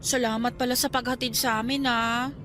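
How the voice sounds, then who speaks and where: A woman's voice: fairly high pitch, steady tone, moderate pace, noisy background, close to an everyday voice. A woman playing a guarded, skeptical young single teacher in a Tagalog radio drama, talking in a conversational way. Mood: bashful, polite.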